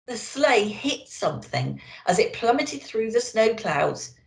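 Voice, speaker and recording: Woman storyteller, children's book reading, unhurried, very animated intonation, dramatic emphasis, echoey room. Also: fairly high pitch, gravelly. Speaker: storyteller in character as a Christmas elf.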